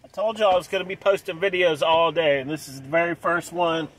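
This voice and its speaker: A man's voice: fairly high pitch, fairly even intonation, brisk, fairly bright tone, poor audio. Hyped man vlogging from his car. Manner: hyped, repeats himself, clears throat often. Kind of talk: excited casual vlog. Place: inside a parked car. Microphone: dashboard camera close up.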